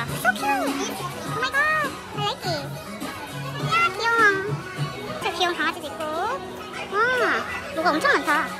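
A woman in her thirties chatting excitedly on a handheld camera while shopping in a busy mall. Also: very high-pitched. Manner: bubbly, exclaims often. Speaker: vlogger. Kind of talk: casual vlog chat while shopping. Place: busy outdoor mall and market.

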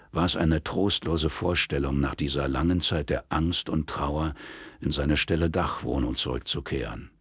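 A man reading literary prose as an audiobook narrator in a measured, sober manner. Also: rumbling low, slightly rough, no echo, studio quality. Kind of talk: scripted literary narration in German.

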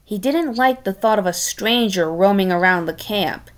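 A woman reading a children's story aloud in an expressive, halting way, with casual chatty asides. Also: mid-range voice. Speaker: storybook reader.

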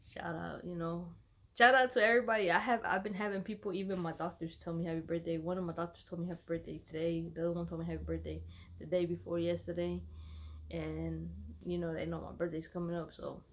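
A woman's voice: on the low side, flat delivery, fast talker. A woman in her thirties from Dallas, Texas, chatting casually at home to her followers in a laid-back, slangy, rambling way. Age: 30s. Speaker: vlogger. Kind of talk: casual vlog chat to followers.

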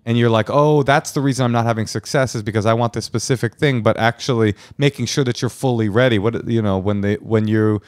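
A man's voice: mid-range voice, fairly quick, hardly any pauses, dry recording. Podcast co-host, man, loose jokey advice, dry close mic. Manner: rambling, jokey, quotes sayings. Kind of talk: casual advice chat. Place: living room studio with patterned wallpaper. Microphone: handheld mic, headphones.

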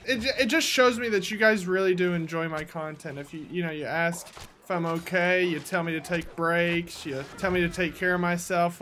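A young man with a high-pitched voice for a man, giving playful, casual commentary while he plays, talking to himself and his viewers through a headset mic in a small room at home. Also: slight background noise. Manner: playful, talks to himself and the viewers, sudden loud yelps. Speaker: gaming YouTuber.

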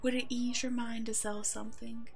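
A woman playing a kind, protective werewolf in an ASMR roleplay drama, speaking gently and reassuringly as if to a child. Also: fairly high pitch, flat delivery, moderate pace, gravelly, faint music behind. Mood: concerned, kind. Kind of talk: ASMR roleplay audio drama, one-sided dialogue.

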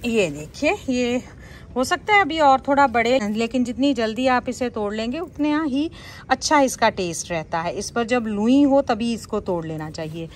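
A woman's voice: fairly high pitch, expressive, normal speed, a little hiss or hum. A woman casually narrating a garden tour as a vlogger.

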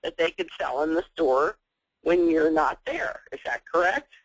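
A man's voice: fairly high pitch, lively intonation, measured pace, breathy edge, dry recording, poor audio. A man moderating a webinar, reading out audience questions and adding brief acknowledgments.

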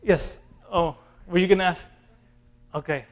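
A man in his thirties from Austin, Texas, an imam answering questions in a relaxed, classroom way with fillers and restarts, filmed by a far camera in a community center room. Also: high-pitched, measured pace. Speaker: imam teaching an intro class.

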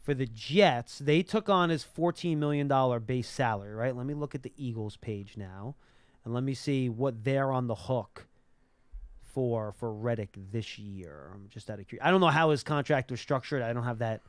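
A man's voice: mid-range voice, moderate pace, no echo. A man hosting live sports talk, explaining things emphatically into a close studio mic. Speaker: sports talk show host. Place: small indoor broadcast studio. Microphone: close broadcast mic on boom arm.

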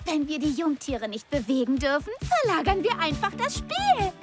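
A woman voicing a spirited, determined little cartoon girl, youthful, expressive and emphatic, in a dubbed children's show. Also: high-pitched, normal speed. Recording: loud music behind the voice.

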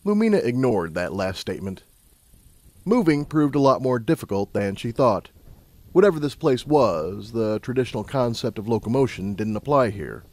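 A man narrating a scripted story as an audiobook narrator, in a measured, dramatic storytelling manner. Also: mid-range voice, sing-song, darkish tone.

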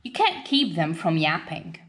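A woman reading a children's picture book aloud in an expressive storytelling style. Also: deep voice, measured pace, slight background noise. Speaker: storybook reader.

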